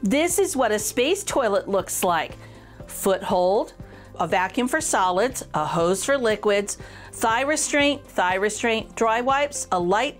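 A woman reading a picture book aloud for children in an expressive, encouraging way, addressing young listeners directly with clear emphasis. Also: on the high side. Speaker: children's storytime reader.

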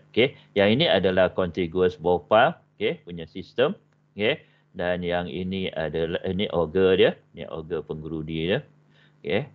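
An older man with a Malaysian Malay accent giving an online lecture, peppering his talk with "okey" and English technical terms. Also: a bass voice. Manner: frequent "okey" fillers, mixes in English terms. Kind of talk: online lecture on basement construction. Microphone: webcam on a video call. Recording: dull video-call audio.

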